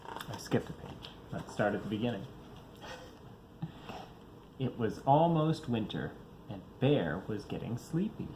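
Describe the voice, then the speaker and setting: A man's voice: lowish voice, big pitch swings, a little slow, pauses often, background noise. A father reading a children's picture book aloud, expressively, varying his pace for young listeners.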